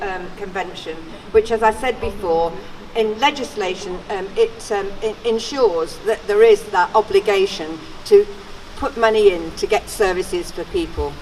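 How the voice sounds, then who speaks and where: Older woman speaking at a noisy rally. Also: on the high side. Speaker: campaigner giving a speech. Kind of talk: informal public rally speech. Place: outdoors at a public gathering. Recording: distant outdoor camera, background noise.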